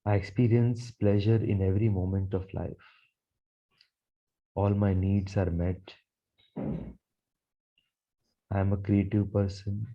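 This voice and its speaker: Slow, low, mellow man guiding meditation. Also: highs cut off. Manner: soothing, measured, repetitive phrasing. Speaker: guided meditation leader, Reiki teacher. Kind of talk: guided meditation narration.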